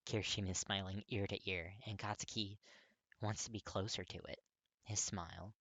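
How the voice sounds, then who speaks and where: A man narrates a story expressively. His voice sits fairly low but has a bright, crisp edge, and the recording is very dry. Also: fairly even intonation, normal speed, no echo. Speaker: audiobook-style fan fiction reader.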